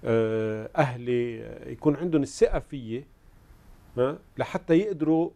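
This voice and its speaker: A Lebanese man in his sixties hosts a studio interview. His voice is mellow and mid-pitched, and he builds his questions in halting short phrases. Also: gravelly. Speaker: host. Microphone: lapel mic.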